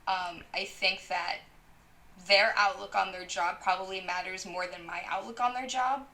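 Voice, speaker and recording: A woman with a bright, clear tone, answering slowly and thoughtfully. She hesitates with small fillers as she thinks her answers through. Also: medium pitch, steady tone. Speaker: interview panelist.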